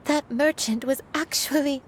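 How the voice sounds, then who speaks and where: An actress playing a remorseful, gentle crane spirit in human form, speaking earnestly and with measured drama in a video game. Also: female voice, sits high, fairly even intonation, slight background noise. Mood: stunned, disbelieving.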